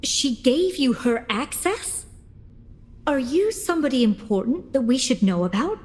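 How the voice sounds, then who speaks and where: A woman playing a cautious, brisk young female tech operative in a game dub, serious and commanding. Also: sits high, animated. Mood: wary. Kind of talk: English game dub acting.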